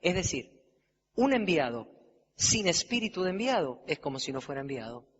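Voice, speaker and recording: Bright-voiced preacher from Argentina. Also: male voice, fairly high pitch, big pitch swings, moderate pace. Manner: building to loud emphatic peaks. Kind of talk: sermon. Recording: dull, reverberant hall with faint noise.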